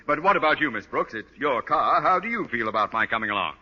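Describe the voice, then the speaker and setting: Pompous, blustering radio-sitcom school principal, bright-voiced. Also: male voice, medium pitch, lively intonation, fast, husky, heavily acted. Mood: bossy. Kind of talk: 1940s radio sitcom acting. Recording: dull vintage radio recording, long reverb.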